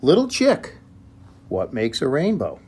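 A man's voice: mid-range voice, wildly swooping pitch, a little slow, a little mellow, pitched above the performer's own voice, full character voice. A man voicing a curious young rabbit from a picture book, asking eager questions in an emphatic sing-song way. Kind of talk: children's picture book read-aloud. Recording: clear background room noise, phone recording.

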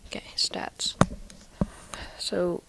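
A woman casually walking through her game inventory in a soft, half-whispered voice, a little nasal from a cold, recorded on a computer mic. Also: extremely slow. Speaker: gaming video maker.

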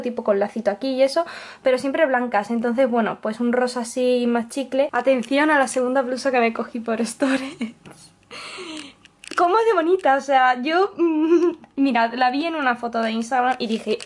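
A young woman with a Castilian Spanish accent chatting casually and effusively to camera in a small apartment. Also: a little high. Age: young adult. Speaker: lifestyle vlogger. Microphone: handheld camera or camera on desk, close range.

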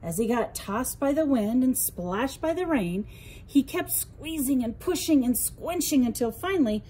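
A woman's voice: high-pitched, expressive, a little slow, dark tone, a little hiss or hum, low-quality recording. A woman narrating a children's bedtime story expressively, as a librarian would at storytime.

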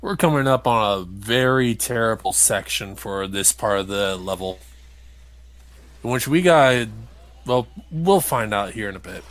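A man's voice: on the low side, animated, a little slow, a bit bright. A man giving casual, wry let's play commentary as he hosts a playthrough. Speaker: gamer hosting a playthrough.

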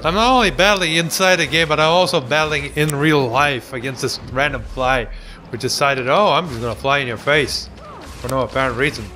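A man's voice: on the high side, fairly quick, sharp tone, slight background noise. A young man giving casual, sarcastic let's play commentary, joking and ranting about the game. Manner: goofy, sarcastic, hums and mock voices.

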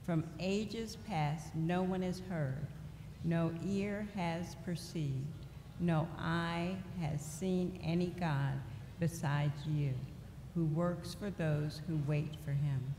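A middle-aged woman reading scripture aloud at a church lectern in a slow, deliberate way. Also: fairly low pitch, dark tone. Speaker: lay reader. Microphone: lectern microphone. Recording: echoey church hall with faint noise.

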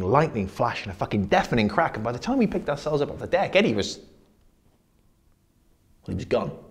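An actor playing a grim, haunted army veteran telling war stories in a husky, plain-spoken, intimate dramatic monologue. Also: male voice, fairly low pitch, darkish tone, close to an everyday voice. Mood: haunted, subdued.

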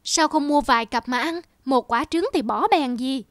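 A woman playing an impatient street seller in a cartoon, loud, brusque and pushy. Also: sits high, normal speed, dry recording, studio quality, faint music behind, close to an everyday voice. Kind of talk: cartoon voice acting.

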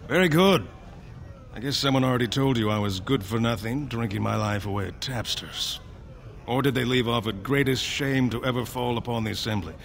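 A man's voice: medium pitch, creaky, a bit bright. An actor voicing a sardonic, rebellious male dwarf noble in a video game, with a dry, self-mocking delivery.

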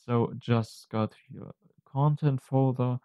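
A man presenting an unscripted software tutorial, explaining step by step in a halting, hesitant way. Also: medium pitch, fairly even intonation, clean tone, no room sound, muffled recording.

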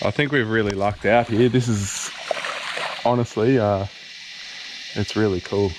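Low-voiced man, outdoor vlog. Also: fairly even intonation, unhurried, background noise. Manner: chatty, teasing. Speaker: host. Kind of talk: casual outdoor vlog chat. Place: outdoors on a tidal mudflat by the sea.

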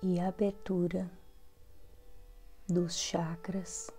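A Brazilian woman leading a guided meditation as a devotional prayer, in a soft voice that turns breathy at phrase ends, with measured pauses between short phrases. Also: fairly low pitch, little pitch movement. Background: soft background music.

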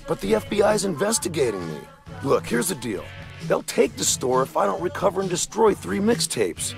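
An oddball record store owner in a video game. His voice is gravelly and animated, slangy and excitable, with faint music behind it. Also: high-pitched, moderate pace, exaggerated voice. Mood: eager, excitable. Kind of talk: video game character acting.

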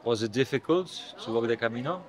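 A man's voice: mid-range voice, animated, fast. A male interviewer with a mid-range voice. He puts short, plain questions to the pilgrims he meets. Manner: brief questions.

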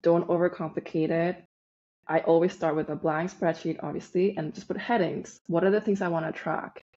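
A woman's voice: on the low side, fairly even intonation, normal speed, dark tone, slightly rough. A woman from Peru whose first language is Spanish, a podcast guest chatting in an easygoing way and laughing readily. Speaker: guest, online business manager. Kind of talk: casual interview chat. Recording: dull lo-fi sound.

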